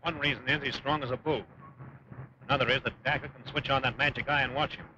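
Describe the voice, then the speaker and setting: Fast, blunt gangster henchman, man acting. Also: medium pitch, fairly even intonation, close to an everyday voice. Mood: tough, matter-of-fact. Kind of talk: 1940s film serial acting. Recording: noisy, muffled 1940s serial soundtrack.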